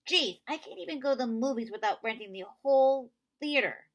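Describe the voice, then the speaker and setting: A woman's voice: sits high, lively intonation, brisk, voice pushed up. Woman voicing an upset, exasperated boy, bitter and defeated. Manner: exasperated, insistent outbursts. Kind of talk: children's novel read aloud.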